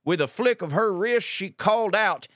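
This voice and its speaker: A man's voice: sits high, expressive, moderate pace, a bit bright, no room sound, clean studio sound. A man with a Southern US accent acting a folksy colonel detective who narrates a comic audio drama with wry asides. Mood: dramatic, folksy. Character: folksy, digressive Southern colonel detective telling his tale.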